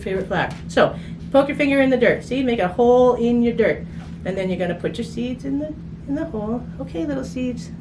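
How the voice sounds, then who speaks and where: A woman talks in a playful, chatty way, as if showing children a simple craft step by step. She thinks aloud in a casual, unscripted style. Her voice sits away from the microphone, with clear noise around it. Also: fairly high pitch. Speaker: children's story reader and craft host. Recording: distant mic, clearly noisy room.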